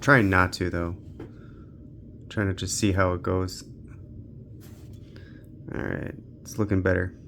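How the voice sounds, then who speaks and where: Low, gravelly-voiced man casually narrating gameplay. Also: level tone, takes it slowly, long pauses, a bit bright, a little hiss or hum. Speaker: gaming channel host. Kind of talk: casual unscripted gameplay commentary.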